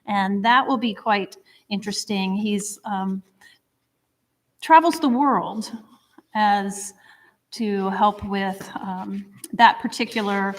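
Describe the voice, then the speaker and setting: A woman's voice: mid-range voice, a little hiss or hum. Lady hosting a history talk, chatty and a bit rambly, echoey hall sound. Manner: chatty, upbeat, a little rambling. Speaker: host of a history society talk. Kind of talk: event announcements and speaker introduction. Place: lecture room before an audience. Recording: long hall reverb.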